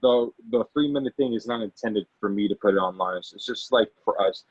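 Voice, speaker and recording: A man speaking as an actor being interviewed, casual and halting, often repeating and rephrasing himself. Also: fairly low pitch, husky, no echo. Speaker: actor and amateur producer, interview guest. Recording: dull, lo-fi audio.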